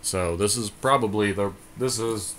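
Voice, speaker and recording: A man's voice: fairly low pitch, a little slow, a bit bright, slight background noise. A man co-hosting a card game podcast, casually thinking aloud as he comments on each play.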